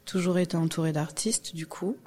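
A middle-aged woman from Guadeloupe talks as a podcast guest in a low, mellow voice. She hesitates often and fills gaps with "euh" while speaking at a loose, casual pace. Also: fairly even intonation, moderate pace. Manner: hesitant, many fillers and restarts. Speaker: guest, music industry professional. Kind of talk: casual interview chat.

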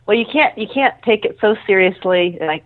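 A woman's voice: medium pitch, lively intonation, a little fast. A middle-aged woman being interviewed, explaining earnestly and informally and often rephrasing as she goes. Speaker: interview guest, air traffic control manager. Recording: thin phone-like line.

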